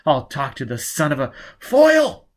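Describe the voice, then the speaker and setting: A man acts out a hot-tempered, loyal woman from an audiobook adventure. The voice is lifted higher, and the delivery is vehement and commanding. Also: wildly swooping pitch, moderate pace. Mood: furious.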